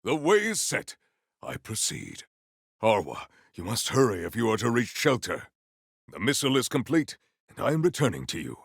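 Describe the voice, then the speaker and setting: An actor playing a grave, honour-bound warrior with wry formality and archaic, theatrical diction in a video game. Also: male voice, medium pitch, huge pitch swings, normal speed, gravelly, breathy edge, dry recording, studio quality, full character voice. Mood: solemn, then wry, stern. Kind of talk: video game character voice lines.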